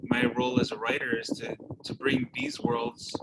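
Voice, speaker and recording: A man's voice: medium pitch, little pitch movement, normal speed. A man, a playwright, answering casually at an online talk-back. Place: video call, noisy background. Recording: very noisy video call audio.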